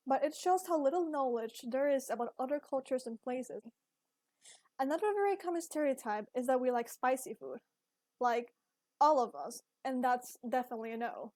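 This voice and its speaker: A young woman from Ecuador, a Spanish speaker with an Ecuadorian accent, giving an earnest, measured talk about her own life as a university student. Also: sits high, darkish tone, no echo. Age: young adult. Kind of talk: scripted personal video essay.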